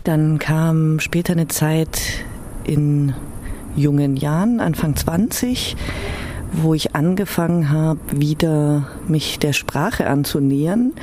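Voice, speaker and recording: A woman's voice: deep voice, slow pace, mellow, slight background noise. A woman who grew up in Iran and Germany, sharing personal memories as an interviewee.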